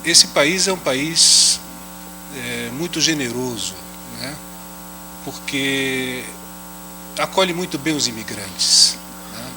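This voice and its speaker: A man's voice: medium pitch, slow pace, pauses often. A man speaking at an academic round table with a slight foreign accent. He talks unhurriedly and adds "né?" after many phrases. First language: not Portuguese. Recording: audible hum under the voice.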